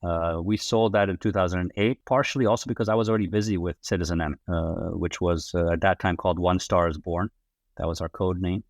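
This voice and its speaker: A man in his forties from the Netherlands, his Dutch accent tinged with American English. His voice sits very low and sounds dry and close. He talks in a relaxed, rambling way, with plenty of "uh" and restarts. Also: fairly quick, no room sound. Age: 40s. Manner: relaxed and chatty, many fillers and restarts. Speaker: podcast guest, hotel brand executive. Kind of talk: casual interview storytelling.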